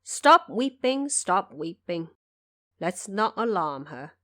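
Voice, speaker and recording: A woman's voice: fairly low pitch, very animated, takes it slowly, a bit bright, no echo, studio quality, full character voice. A woman playing a grave, composed emperor. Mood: soothing, concerned. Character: grave, composed male emperor, gentle with family.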